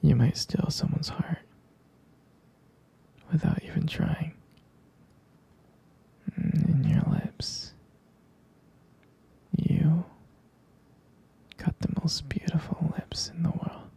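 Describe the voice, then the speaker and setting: A man speaks in a tender, adoring boyfriend ASMR murmur, slow and very soft. His voice is extremely breathy and husky, slipping into whispers, with heavy vocal fry running through it. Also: a little high, steady tone. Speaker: ASMR roleplay creator. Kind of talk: intimate boyfriend roleplay ASMR.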